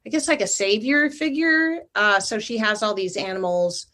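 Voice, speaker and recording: A middle-aged woman talks through her artwork in a casual interview, thoughtful and explanatory. Her voice sits at an ordinary pitch for a woman. She is heard over a video call. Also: animated, moderate pace, pushed and bright. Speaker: guest artist.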